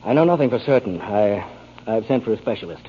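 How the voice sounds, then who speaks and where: An actor playing a kindly, authoritative doctor in a 1940s radio drama. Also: male voice, lowish voice, expressive, quick pace, a little hiss or hum, natural voice. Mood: grave, concerned. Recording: dull 1940s radio broadcast recording.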